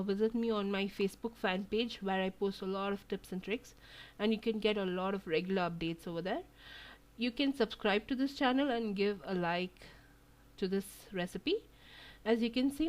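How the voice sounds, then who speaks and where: A woman, Tamil, talks through a recipe step by step in an easygoing, chatty way. Her pitch sits in an ordinary middle range. She pauses often with little fillers as she cooks. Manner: relaxed, chatty, many fillers. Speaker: cooking channel host. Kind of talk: home cooking tutorial voice-over. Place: home kitchen.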